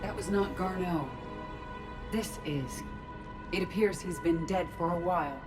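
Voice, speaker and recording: Composed low-voiced female AI played by an actress. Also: lightly acted. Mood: analytical, calm. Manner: precise, measured, detached. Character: composed female artificial intelligence, analytical ally. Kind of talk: dramatic video game acting. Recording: music and game sound behind. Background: game music.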